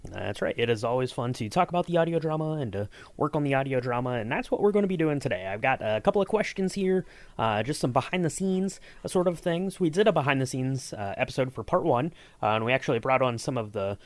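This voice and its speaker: A man's voice: medium pitch, animated, fast, dry recording. A man hosting a podcast and interviewing his co-host, speaking in an upbeat way with frequent fillers.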